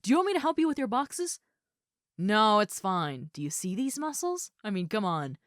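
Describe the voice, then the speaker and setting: A woman's voice: mid-range voice, very animated, normal speed, no echo, studio quality, natural voice. A woman playing a muscular female ogre roommate in a roleplay audio, friendly, easygoing and caring, with a casual, chatty way of talking and hesitant asides. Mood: chatty, upbeat. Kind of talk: roleplay audio acting, addressed to the listener.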